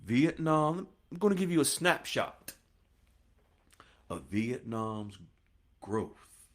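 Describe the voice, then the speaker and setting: Slow, lively low-voiced man lecturing. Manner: preachy, repeats phrases and addresses listeners as "folks". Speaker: finance podcast host. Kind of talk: emphatic commentary monologue.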